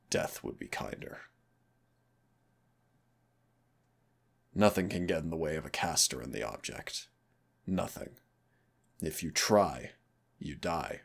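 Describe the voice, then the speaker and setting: A man playing a teasing but stern demon hunter in an audio roleplay, speaking deliberately with dramatic pauses in a gravelly, husky voice. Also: a bass voice, fairly bright tone, exaggerated voice. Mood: grim, bitter. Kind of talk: audio roleplay acting, intimate second-person dialogue.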